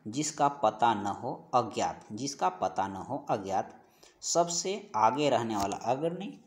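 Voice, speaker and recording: A man teaching an exam-prep grammar lesson, reading out questions and answers and repeating each one, slowing down on key phrases. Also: mid-range voice, expressive.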